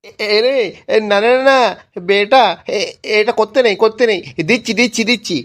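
A man's voice: high voice, steady tone, normal speed, a bit bright. Guy doing a grumbly cartoon sweet shop owner, fed up, naggy, keeps repeating himself, all about money. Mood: flustered. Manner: exasperated, scolding, repetitive. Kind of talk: amateur cartoon comedy voice acting.

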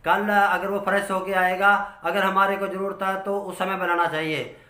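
Older male farmer, 60s, bright forceful voice with little pitch movement, explaining from notes. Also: level tone, normal speed. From Haryana, India. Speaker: dairy farmer giving advice. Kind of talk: informal instructional talk from notes. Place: small curtained room at home. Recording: roomy indoor echo.

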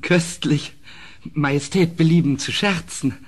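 An actor playing a cunning dwarf court jester, servile and sly, in a vintage German radio play. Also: male voice, on the high side, measured pace, breathy edge. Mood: servile, scheming. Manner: obsequious, sly, theatrically courteous.

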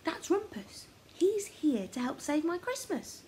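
A woman's voice: extremely high pitch, very animated, measured pace, a little mellow, pitched above the performer's own voice, exaggerated voice. A woman voicing an earnest, grateful, eager young boy, the hero of a children's story. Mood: eager, reassuring. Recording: noticeable background noise, home recording.

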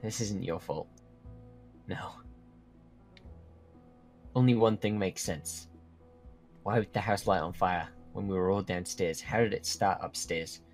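A man's voice: deep voice, unhurried, pauses often. A young man playing a protective, earnest teenage werewolf friend in a Minecraft roleplay drama, speaking in broken, dramatic phrases. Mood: grave, suspicious. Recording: music behind the voice.

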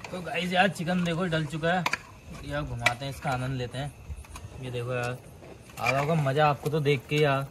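A man's voice: on the high side, moderate pace. A man vlogging casually outdoors, talking to viewers and prompting his friends. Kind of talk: casual handheld vlog chat with friends. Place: outdoors at a forest camp. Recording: noisy handheld outdoor camping audio.

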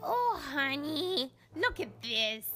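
Cartoon villainess: towering salon boss, high and swooping, slow and grandly menacing. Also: female voice, sing-song, over music, exaggerated voice. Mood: bossy, gleeful. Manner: grand, drawn-out, commanding delivery. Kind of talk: animated cartoon voice acting.

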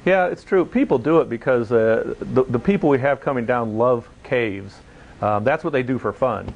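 A man speaking as an interview guest, in a conversational, somewhat halting way. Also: mid-range voice, vocal fry, lo-fi sound. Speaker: volunteer caving project leader, interview guest.

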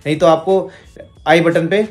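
A man's voice: on the high side, fairly even intonation, unhurried. A young man vlogging to camera in a room at home, giving direct, friendly fitness advice. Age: young adult. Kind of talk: casual talking-to-camera fitness tips.

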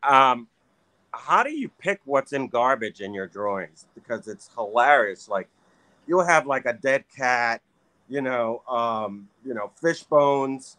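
A middle-aged man talks eagerly and loosely over a video call, stringing thoughts together with plenty of fillers. His voice sits at an ordinary pitch and sounds close and dry from a home room. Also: lively intonation, slow, bright, forceful tone, no echo. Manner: enthusiastic, rambling, filler-heavy. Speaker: guest artist. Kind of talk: casual video-call interview. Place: home room with arcade cabinet.

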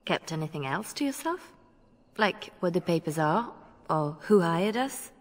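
Lowish-voiced, self-assured young rogue. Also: female voice, lively intonation, unhurried, no echo. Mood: businesslike. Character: confident, playful young female rogue bard. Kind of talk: video game voice acting.

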